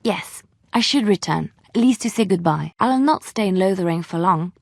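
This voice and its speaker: An actress playing a gentle, wistful young bard in a video game, speaking in a measured, tender way. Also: female voice, medium pitch, lively intonation, no echo, clean studio sound. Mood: hopeful, thoughtful. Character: gentle romantic young female bard adventurer, wistful but resilient. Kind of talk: video game character dialogue.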